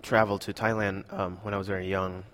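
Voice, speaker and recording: Low-voiced young man, hesitant. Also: little pitch movement, brisk, fairly bright tone, big-room sound. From Indonesia. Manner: hesitant, many fillers. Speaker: interviewee. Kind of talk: casual conversation.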